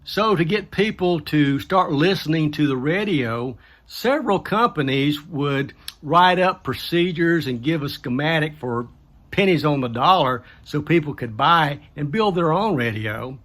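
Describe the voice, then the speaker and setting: A man's voice: a little high, lively intonation, a little slow, fairly bright tone. A man hosting a do-it-yourself build series, explaining things casually in a folksy, plain-spoken way with colloquial grammar.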